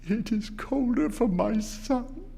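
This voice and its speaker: A man's voice: very high-pitched, lively intonation, slow pace, vocal fry, dark tone, husky, voice pushed up, full character voice. A man voicing a desperate, grieving old woman in a storybook reading, with urgent, pleading, wild cries. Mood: tearful.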